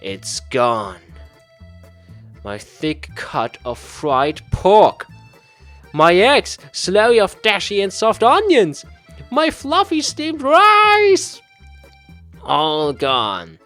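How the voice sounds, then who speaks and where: A man's voice: sits high, wildly swooping pitch, very slow, pauses often, pushed and bright, faint music behind, voice pushed up, exaggerated voice. A man voicing an earnest, food-obsessed office worker from a visual novel, dramatic and easily wounded. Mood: anguished. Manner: dramatic, savoring descriptions.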